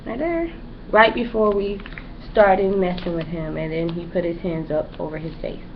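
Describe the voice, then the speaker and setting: A woman's voice: on the low side, moderate pace. A young woman giving a casual, rambling pregnancy update in a vlog, with lots of fillers. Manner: rambling and chatty, many fillers. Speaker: pregnant vlogger. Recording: dull lo-fi home recording with background noise.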